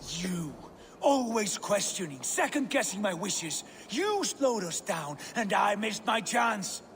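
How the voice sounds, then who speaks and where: An actor playing a proud, obsessive Viking lord with an imperious, intense manner in a game. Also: male voice, high voice, big pitch swings, slow pace, fairly bright tone, a little hiss or hum, heavily acted. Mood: bitter. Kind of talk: dramatic video game acting.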